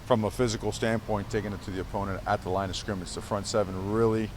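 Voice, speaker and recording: A man's voice: on the low side, steady tone, fast, noisy background. A man coaching football, answering reporters in a press conference, emphatic and full of fillers and repeated words. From Cuba.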